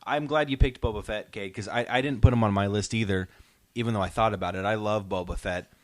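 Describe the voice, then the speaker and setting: Low-voiced man hosting a casual podcast. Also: fairly even intonation, fast. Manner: explanatory, encouraging. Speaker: podcast host.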